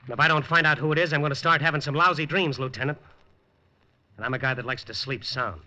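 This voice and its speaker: A man's voice: medium pitch, fast talker, noisy background, close to an everyday voice. An actor playing a dogged, hardboiled newspaper reporter in a 1950s radio drama, with a terse, wry tough-guy delivery. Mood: determined. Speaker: lead actor. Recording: dull lo-fi 1950s radio broadcast.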